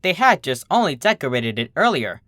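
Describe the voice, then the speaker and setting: A narrator reading a scripted story in an expressive storytelling manner. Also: mid-range voice, a little fast, no echo, clean studio sound. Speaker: fan fiction reader.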